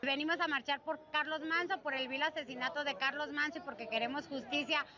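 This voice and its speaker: A woman speaking fast and declaiming to a march in the street. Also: high voice, steady tone, highs cut off, noisy background. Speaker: protester addressing a march. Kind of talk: protest speech. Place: city street outdoors. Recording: distant street clip with crowd.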